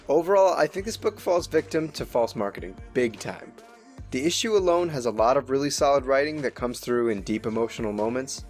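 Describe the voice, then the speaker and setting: A man giving a scripted, opinionated review as a show host, with conversational asides. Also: medium pitch. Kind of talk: scripted narration, review commentary. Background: music.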